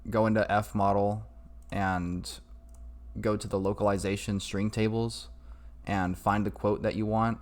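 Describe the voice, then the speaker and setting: A man with a low voice walks through a casual computer tutorial. He takes his time, speaking slowly and informally as he improvises each step. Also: level tone. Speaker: tutorial maker. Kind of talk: casual screen-recorded tutorial.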